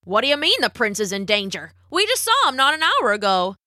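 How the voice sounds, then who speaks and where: A concerned villager in a storybook tale, voiced by a woman. The delivery is plain-spoken and emphatic, bright in tone and fairly high. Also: huge pitch swings, a little fast, no room sound, clean studio sound, heavily acted. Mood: puzzled. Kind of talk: storybook character voice.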